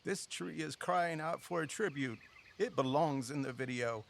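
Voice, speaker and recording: A man's voice: on the high side, normal speed, creaky, slight background noise. An actor playing a proud, theatrical older father who runs walking tours and speaks in grand, old-fashioned phrases in an audio drama. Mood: grand, declaiming.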